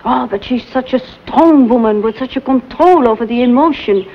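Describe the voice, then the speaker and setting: An actress playing a trusting, flustered young French teacher with a French accent, speaking breathily and politely in a vintage drama. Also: female voice, on the high side, normal speed, lightly acted. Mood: anxious. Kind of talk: vintage television drama acting. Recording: dull lo-fi old soundtrack.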